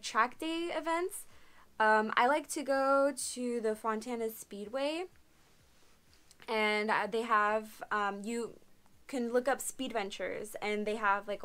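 A woman's voice: on the high side, a bit bright, smooth. Chatty young woman vlogging from her bedroom. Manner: chatty, frequent "um" and "like", laughs often. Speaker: car vlogger answering viewer questions. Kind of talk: casual Q&A vlog. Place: small bedroom, indoors.

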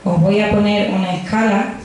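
A woman's voice: medium pitch, steady tone, moderate pace, a little mellow. Young woman with a Badajoz accent. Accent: Badajoz Spanish. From Extremadura, Spain. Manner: chatty, jokey, addresses audience directly. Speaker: physicist giving a public talk. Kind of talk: popular science talk. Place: crowded pub, indoors. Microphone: handheld microphone. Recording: echoey pub room with faint noise.

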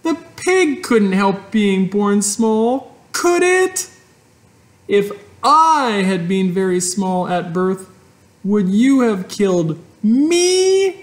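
A man voicing an eight-year-old farm girl who pleads in a shrill, outraged voice. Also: very animated, extremely slow, full character voice. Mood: distraught.

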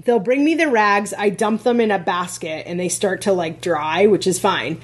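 A woman's voice: mid-range voice, normal speed, breathy edge. A woman co-hosting a podcast, talking casually and chattily with lots of fillers and small laughs. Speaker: podcast co-host, rental host.

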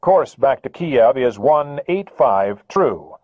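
A man's voice: medium pitch, lively intonation, slow pace, no echo, pitched above the performer's own voice. A male narrator voicing a smug American radar controller who speaks in a falsely polite, needling way over a radio. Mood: mock polite. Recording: tinny radio transmission.

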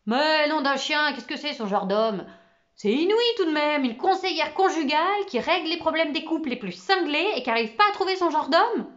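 A woman's voice: high-pitched, expressive, normal speed, a bit bright, voice pushed up. Woman voicing an exasperated father. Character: exasperated father suggesting suitors. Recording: slightly muffled recording.

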